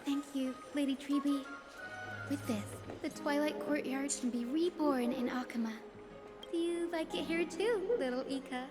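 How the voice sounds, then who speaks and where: A woman playing a gentle, nurturing young lady in a video game, with music behind her. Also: sits high, moderate pace, lightly acted.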